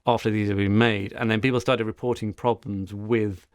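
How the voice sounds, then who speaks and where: A man's voice: lowish voice, fairly even intonation, normal speed, smooth, no room sound, clean studio sound. A man co-hosting a podcast, telling a story in casual, rambling chat with frequent restarts. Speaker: podcast co-host.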